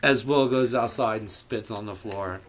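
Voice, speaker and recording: A man's voice: fairly low pitch, moderate pace, a bit bright. A man reading a story aloud informally, with a theatrical, irreverent manner and profane casual asides. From US Midwest. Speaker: amateur audiobook reader.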